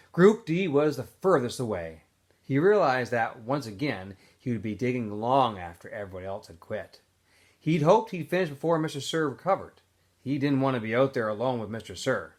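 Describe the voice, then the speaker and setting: Expressive male teacher reading aloud. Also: mid-range voice. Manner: casual, chatty recap with fillers, then expressive reading. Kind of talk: read-aloud of a novel for students.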